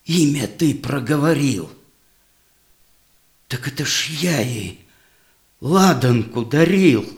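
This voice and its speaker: Audio drama casting: proud old merchant father, gravelly, slightly high voice, remorseful, theatrical verse delivery. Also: heavily acted. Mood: astonished. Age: older. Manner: slow, theatrical, lamenting rhymed delivery.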